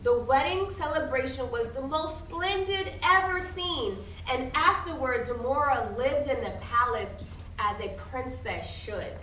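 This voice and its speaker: A woman teacher doing an interactive read-aloud for a young class, instructive and questioning, with expressive storybook reading. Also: sits high, a little slow. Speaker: primary school teacher. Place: classroom with pupils. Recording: distant echoey classroom, some noise.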